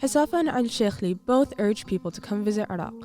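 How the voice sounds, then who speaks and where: A woman's voice: mid-range voice, lively intonation, quick pace, dark tone. A young woman, reading a scripted news feature as a student reporter. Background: faint music.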